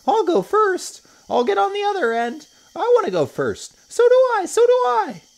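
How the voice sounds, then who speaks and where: A bunch of small children squealing with excitement, high and loud. Their voices leap around wildly. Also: male voice, normal speed, gravelly, heavily acted. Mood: excited. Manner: squealing, shouting over each other.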